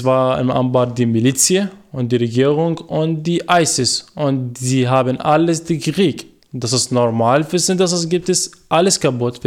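Man, twenties, from Iraq, halting learner's German, frequent fillers, radio interview guest. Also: medium pitch, darkish tone. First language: Arabic. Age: 20s. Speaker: guest, former journalist.

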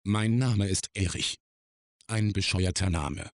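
An actor playing a wry, self-reflective man giving a deliberate, formal monologue in an audio drama. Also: sits low, dry recording, studio quality.

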